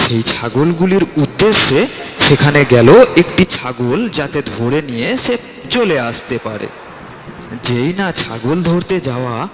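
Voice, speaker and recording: A male storyteller reads a scripted fairy tale at a very slow pace, with a medium pitch. The voice carries a long reverb and faint background noise. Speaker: storyteller, narrator.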